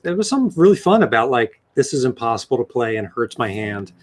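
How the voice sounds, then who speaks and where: A man's voice: mid-range voice, big pitch swings, quick pace. Slangy, profane man with a mid-range voice. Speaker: comedy show panelist. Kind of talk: casual banter.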